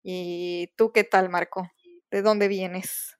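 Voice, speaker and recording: A woman's voice: on the low side, flat delivery, slow, clear, resonant tone. A young woman from Ecuador, co-hosting a podcast in casual chat, laughing often and using many fillers. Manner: giggly, chatty, frequent fillers. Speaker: podcast co-host.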